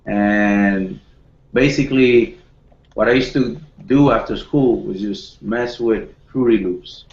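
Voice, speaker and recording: Low-voiced young man, echoey lo-fi call. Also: a little mellow. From Dominican Republic. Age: 20s. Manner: modest, halting, many restarts and fillers. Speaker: guest, home studio producer. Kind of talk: casual video call chat.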